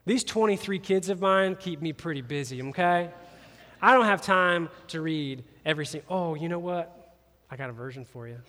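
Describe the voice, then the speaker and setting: A pastor with a somewhat high voice preaches an animated, joking sermon and speaks straight to his listeners in a conversational way. His voice carries a long reverb, as if it fills a large church auditorium. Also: moderate pace. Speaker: campus pastor.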